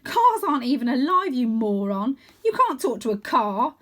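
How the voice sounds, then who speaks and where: A woman's voice: a little high, sing-song, normal speed, a bit bright. A woman voicing a streetwise female cat in a children's storybook, blunt, incredulous and teasing. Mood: mocking.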